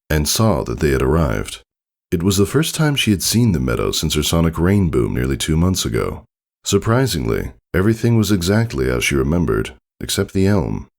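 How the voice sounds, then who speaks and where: A man's voice: very deep voice, gravelly, a little mellow. A man reading a scripted story aloud as its narrator. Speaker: fan fiction reader, narrator.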